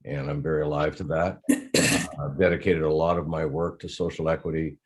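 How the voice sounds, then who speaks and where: An older Canadian man, an advisor and editor, speaking in a measured way on a panel. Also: a bass voice, flat delivery. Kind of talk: panel introduction.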